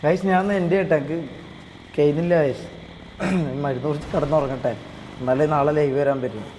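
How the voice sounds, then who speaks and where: A man streaming a game live, chatting casually, low on energy and sick with a cold. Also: brisk, a little mellow, big-room sound. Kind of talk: casual in-game roleplay chat on a livestream. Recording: noisy game livestream audio.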